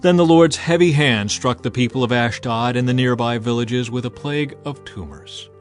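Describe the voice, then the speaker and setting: A man reads scripture as a dramatized audiobook, with a solemn storytelling manner. His voice sits at a middle pitch, and music plays faintly behind him. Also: normal speed. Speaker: narrator.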